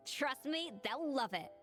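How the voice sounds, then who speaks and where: A woman playing a proud, exacting young female chef in an anime game, self-assured and sharp-tongued. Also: high-pitched, animated, slow, faint music behind, full character voice. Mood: confident.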